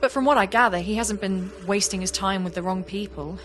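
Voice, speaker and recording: A woman's voice: medium pitch, brisk, fairly bright tone, a little hiss or hum, close to an everyday voice. A woman playing a reflective young artist in an audio play, speaking in an earnest, confessional way. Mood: reflective, candid. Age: young adult. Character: young woman artist, reflective, warm, wistful about an old friend. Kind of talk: audio play monologue and dialogue.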